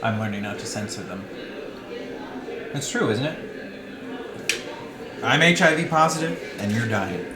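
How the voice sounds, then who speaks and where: A man's voice: mid-range voice, expressive, unhurried, long pauses, close to an everyday voice. Man acting a giddy, doomed man, roomy echo. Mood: quizzical, blunt. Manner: giggly, theatrical, blunt. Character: sickly, freckled, grieving yet giddy tipsy man, wryly blunt. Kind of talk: dramatized novel reading. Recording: roomy echo, loud music behind.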